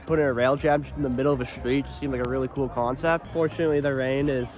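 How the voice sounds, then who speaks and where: A man at an outdoor ski festival, talking in a relaxed, laid-back way. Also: medium pitch, fast, highs cut off, faint music behind. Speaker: festival skier.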